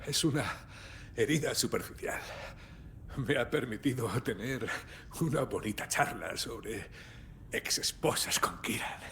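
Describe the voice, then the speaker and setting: A man's voice: measured pace, long pauses, a bit bright. An actor playing a wry, world-weary, blunt and stoic lawman in dramatic video game dialogue. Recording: faint background rumble and noise.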